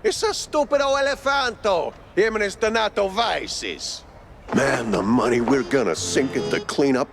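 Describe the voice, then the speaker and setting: A man's voice: on the high side, expressive, normal speed, gravelly, a bit bright, soft background music. A man voicing an irritable, blustering cartoon circus boss with an Italian accent and an exclamatory, questioning manner. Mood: grumbling. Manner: exclamatory, tags phrases with "eh". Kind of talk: cartoon game voice acting.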